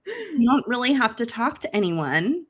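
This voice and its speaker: A woman co-hosting a craft livestream from home, teasing her co-host with incredulous questions before running through a store deal. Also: sing-song. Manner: teasing, incredulous questions, then brisk sales pitch. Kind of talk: casual chat and shop promotion.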